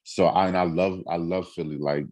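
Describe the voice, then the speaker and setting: A man who is a featured music artist, talking in a relaxed and grateful way, with plenty of fillers. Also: deep voice, moderate pace. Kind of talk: casual video call chat.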